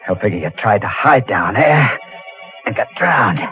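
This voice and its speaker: A man's voice: fairly low pitch, lively intonation, normal speed, faint music behind, natural voice. An actor playing a guilty, ruthless ship's crewman in a radio thriller, guarded and coldly menacing. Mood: cold menace. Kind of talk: vintage radio thriller acting. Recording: muffled, noisy old radio drama recording.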